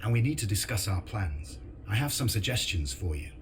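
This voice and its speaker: An actor playing a weary, resolute resistance veteran, speaking soberly and seriously in a video game drama. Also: male voice, fairly low pitch, big pitch swings, a little fast, a little hiss or hum. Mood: calm, advising. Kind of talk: dramatic game narration and dialogue.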